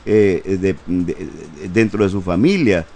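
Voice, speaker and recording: Older Nicaraguan male panelist, lowish voice, talks in a halting, reminiscing way. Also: dark tone, husky. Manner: reminiscing, many fillers and "¿verdad?". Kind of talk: radio panel discussion.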